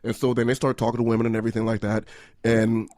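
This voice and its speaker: A man is a guest on a radio panel discussion. He talks casually and loosely, with a lot of "you know" and "like" in his speech. His voice is mid-pitched and recorded dry on a close studio mic. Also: monotone, fast talker, a little mellow, dry recording. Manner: conversational, lots of fillers like "you know" and "like".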